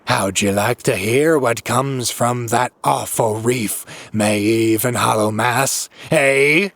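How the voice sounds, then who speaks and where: A crazed old drunkard from a horror audiobook. He rants in frantic rustic dialect with a gravelly, creaking voice, rambling between muttering menace and terror. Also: medium pitch, slow, a bit bright, dry recording, full character voice. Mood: frenzied, menacing. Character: crazed, terrified old New England drunkard ranting of horrors.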